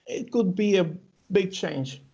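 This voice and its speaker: A man from Cuba with a Cuban Spanish accent, answering interview questions haltingly with many fillers and restarts; Spanish is his first language. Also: sits high, expressive, vocal fry, warm tone. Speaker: interview guest. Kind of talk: hesitant conversational interview answers. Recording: dull lo-fi audio.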